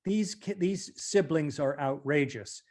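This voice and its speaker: A man from the United States with a fairly high voice talks as an interview guest. He speaks in a reflective, deliberate way and puts weight on key words as he recalls his work as an actor. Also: a little mellow.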